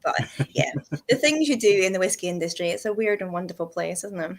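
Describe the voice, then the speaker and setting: A woman's voice: fairly low pitch, lively intonation, fast talker. Chatty woman telling stories. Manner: chatty storyteller, laughs often. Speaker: whisky shop host. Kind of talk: casual chat.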